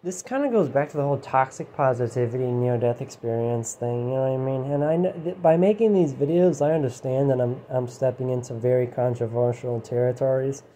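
A man musing aloud in a loose, rambling monologue, swearing casually and tagging lines with "you know", his voice going hoarse. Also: mid-range voice, brisk, hardly any pauses, dark tone. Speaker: channel host. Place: his room, indoors. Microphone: phone set down nearby. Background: heater hum.

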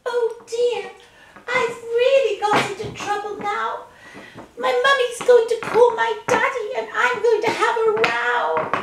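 Tiny, squeaky, whiny princess. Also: female voice, flat delivery, a bit bright, heavily acted. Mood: distressed. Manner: whiny, drawn-out, plaintive. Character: spoiled, plaintive little princess girl turned dog. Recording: echoey room with clear background noise.

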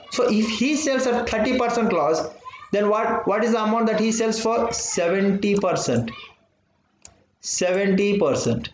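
A man with an Indian English accent teaching a live online math class. He repeats phrases and keeps asking whether his listeners understood. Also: high-pitched. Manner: repeats phrases, keeps saying "my dear friends" and "understood or not". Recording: dull, roomy audio with faint noise.

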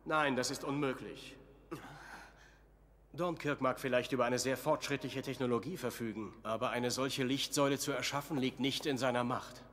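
A man's voice: mid-range voice, fairly even intonation, normal speed, a bit bright, natural voice. An actor playing a serious, reasoning man in a fantasy anime audio drama.

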